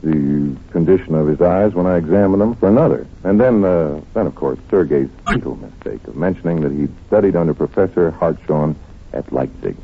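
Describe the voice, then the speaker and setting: Deep-voiced, confident radio detective, muffled vintage sound. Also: male voice, expressive, brisk, gravelly, a little hiss or hum, exaggerated voice. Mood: confident explaining. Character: confident, analytical detective, teasing and affectionate with his girl. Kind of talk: old-time radio drama acting.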